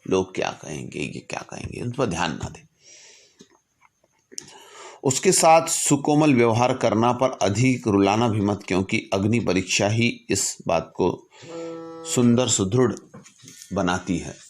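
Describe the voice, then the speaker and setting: A man telling a story reflectively in a didactic way, with measured delivery and deliberate pauses. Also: on the low side, expressive. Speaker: storyteller and speaker. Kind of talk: reflective storytelling, reciting a letter from memory with asides.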